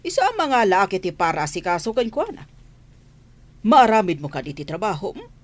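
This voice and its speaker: A woman's voice: mid-range voice, sing-song, a little fast. Woman acting a haughty, bossy mansion madam. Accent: Filipino. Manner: imperious, scolding. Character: haughty, bossy mansion mistress, mother of a grown son. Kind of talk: radio comedy drama acting.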